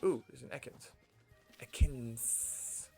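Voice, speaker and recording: Gravelly young American man chatting. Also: mid-range voice, steady tone, takes it slowly, mellow, soft background music. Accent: General American. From United States. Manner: rambling, chatty, talks to himself while playing. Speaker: streamer. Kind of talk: casual gameplay commentary.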